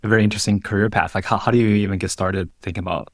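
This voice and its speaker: A man's voice: fairly low pitch, fairly even intonation, rapid-fire, dry recording, clean studio sound. A man hosting a casual interview, asking questions and reacting conversationally. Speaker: podcast host, interviewer.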